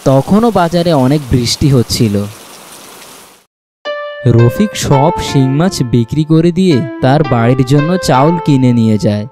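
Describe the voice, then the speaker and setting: A man's voice: mid-range voice, lively intonation, normal speed, music in the background. A man narrating a scripted cartoon story.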